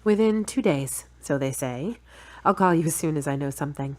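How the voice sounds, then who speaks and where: A woman playing a level-headed, gently teasing girlfriend in an audio drama. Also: deep voice, animated, normal speed. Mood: uneasy.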